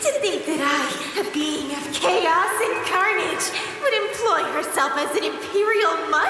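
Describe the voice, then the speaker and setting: A woman's voice: very high-pitched, bright, forceful tone, heavily acted. A woman playing a mocking, theatrical female assassin of chaos and carnage in a fantasy audio drama. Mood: theatrical menace. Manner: theatrical, mocking, playfully menacing. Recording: long echoing reverb, music behind.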